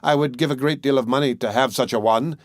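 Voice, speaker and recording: Male narrator as greedy old miser, fairy tale audiobook, pleading, exclamatory, raised pitch. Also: quick pace, no room sound, clean studio sound, close to an everyday voice. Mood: admiring.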